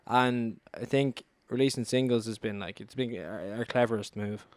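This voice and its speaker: Casting: young Irish male band member, clear voice, informal interview chat, dry sound. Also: medium pitch, steady tone, moderate pace, darkish tone, no room sound. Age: young adult.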